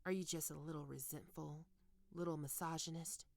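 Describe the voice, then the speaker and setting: A woman playing a stern, teasing goth college professor in an ASMR roleplay, speaking deliberately and condescendingly into a close microphone. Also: deep voice, fairly even intonation, creaky, a little mellow, no echo. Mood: probing, taunting. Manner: condescending, taunting, deliberate with pauses. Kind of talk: ASMR roleplay, scripted monologue to listener.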